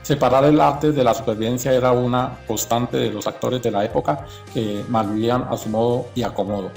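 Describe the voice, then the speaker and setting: A man's voice: mid-range voice, fairly even intonation, fairly quick, vocal fry, a little mellow, soft background music. A man reading scripted documentary narration.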